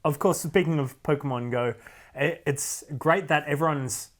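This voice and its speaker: A man's voice: a little high, normal speed. A man in casual banter as a video game show host.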